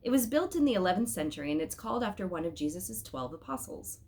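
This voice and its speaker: A woman voicing a gentle male angel who explains things calmly and knowledgeably. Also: on the low side, quick pace. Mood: explaining.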